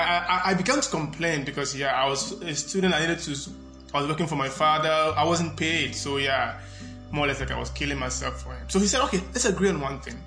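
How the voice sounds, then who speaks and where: Halting young Ghanaian man over music. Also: mid-range voice, bright, forceful tone. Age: young adult. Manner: halting, repeats words. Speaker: podcast host. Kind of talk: casual personal storytelling to listeners.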